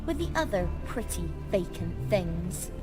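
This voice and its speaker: An actress playing a fierce, jealous and scornful peasant mistress in a video game. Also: female voice, on the low side, big pitch swings, unhurried. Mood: threatening. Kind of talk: video game character acting. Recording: loud music behind.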